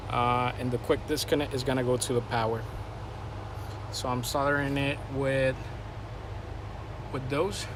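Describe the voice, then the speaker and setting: Male vlogger, mid-pitched, informal and plain-spoken DIY narration, noticeable vehicle and outdoor noise behind. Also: level tone, measured pace, pauses often. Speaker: video creator showing a radio install. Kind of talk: casual hands-on vlog narration.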